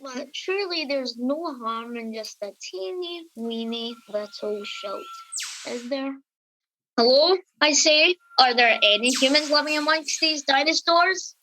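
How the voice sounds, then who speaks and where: Breezy, reckless male radio reporter played by a woman, fussy and theatrical, slightly high voice over noisy, clicky sound. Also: expressive, a little slow, pushed and bright, heavily acted. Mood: loud calling. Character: posh, chatty, reckless time-travelling field correspondent, Nathaniel. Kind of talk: radio comedy acting as a live report.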